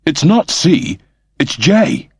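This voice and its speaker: A man's voice: on the high side, wildly swooping pitch, slow pace, breathy edge, dry recording, clean studio sound, pitched above the performer's own voice, exaggerated voice. A man voicing a fussy, earnest service android with a precise, formal, explanatory manner. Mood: excited.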